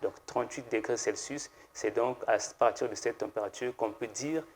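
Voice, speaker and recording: A man, a pharmacist guest on a TV studio set, explaining slowly and hesitantly with many fillers and restarts. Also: mid-range voice, a little hiss or hum. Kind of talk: explanatory TV interview answers.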